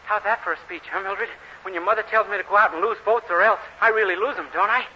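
An American man playing a naive, eager, dim-witted young man in an earnest, excitable 1940s radio comedy style. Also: high voice, quick pace, close to an everyday voice. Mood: pleased, bragging. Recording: hissy, muffled old radio recording.

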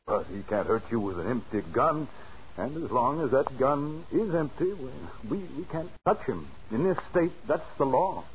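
An actor playing a police officer firmly explaining things, emphatic, in 1940s radio drama style. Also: male voice, medium pitch, lively intonation, moderate pace, lightly acted. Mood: firm, patronizing. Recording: muffled, hissy old radio recording.

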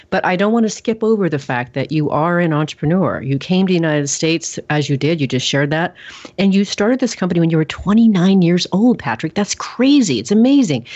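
A woman's voice: sits low, darkish tone. Woman host, gushing, effusive praise, lo-fi muffled sound. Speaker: podcast host interviewer. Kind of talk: enthusiastic interview.

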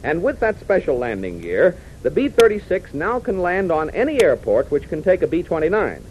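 An American man works as announcer and narrator in a 1940s radio style. His fairly high voice moves in lively swings on a dull, slightly noisy old recording. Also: moderate pace.

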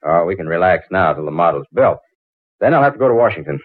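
A man's voice: low voice, animated, fast. An actor playing an earnest, awkwardly affectionate male inventor in a 1940s radio drama. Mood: bashful, relieved. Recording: tinny 1940s radio recording.

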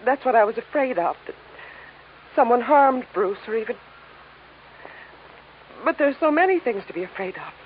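A woman's voice: fairly high pitch, expressive, normal speed, long pauses, natural voice. An actress playing a refined, anxious young woman, polite and guarded, in a 1950s radio drama. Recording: tinny, noisy old radio recording.

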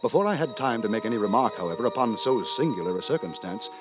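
An actor playing a prim, moralising gentleman who narrates in wordy, formal, mock-solemn 1950s radio drama style. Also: on the low side, animated, fast, close to an everyday voice. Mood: wry, measured. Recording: 1950s radio, music behind.

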